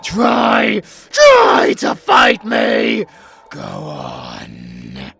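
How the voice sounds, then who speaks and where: A man's voice: high-pitched, gravelly, a bit bright, slightly rough, exaggerated voice. A British man voicing a sadistic, predatory supervillain monster in an audio roleplay, mocking his prey with theatrical menace. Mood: savage taunting. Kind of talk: villain audio roleplay acting.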